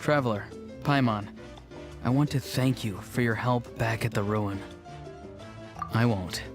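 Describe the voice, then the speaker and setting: A man's voice: mid-range voice, measured pace, natural voice. A man playing a young rebellious grandson in a video game, hesitant and earnest with a dry edge. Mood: grateful. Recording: music clearly playing behind the voice.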